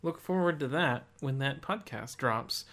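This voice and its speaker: A man co-hosts a podcast in a loose, casual chat. His voice sits at a middling pitch for a man. He talks informally, as one host to another. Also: measured pace, fairly bright tone.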